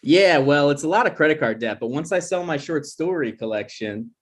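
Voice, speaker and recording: A man's voice: a little high, lively intonation, a little fast. A man playing an awkward, defensive young grad student with hesitant, rambling delivery in a comedy table read.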